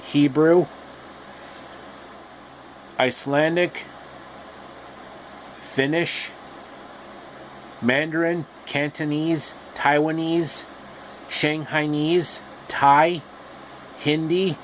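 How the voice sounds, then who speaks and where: Male storyteller, unhurried and halting, informal delivery, slightly raised pitch, light background noise. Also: steady tone, rough recording. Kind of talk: casual improvised storytelling.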